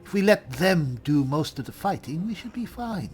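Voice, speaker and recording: A man's voice: a little high, big pitch swings, normal speed, a little mellow, soft background music. A man roleplaying through a fantasy game in casual commentary, with dry, wry, offhand replies. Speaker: gamer roleplaying as an elf spellsword.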